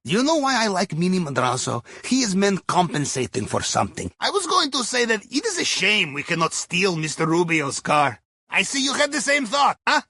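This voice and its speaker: A wry, jovial Russian man planning heists in a video game. His voice is high and husky, with very animated intonation. He chats in droll, folksy broken English with a thick accent. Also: normal speed, no room sound, exaggerated voice. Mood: wry amusement. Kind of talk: video game mission dialogue over radio.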